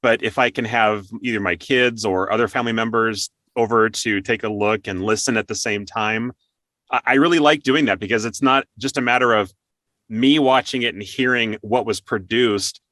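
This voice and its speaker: Bright-voiced man hosting an interview. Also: lowish voice, moderate pace, no echo. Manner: chatty, laughs often. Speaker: interview host. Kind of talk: conversational interview.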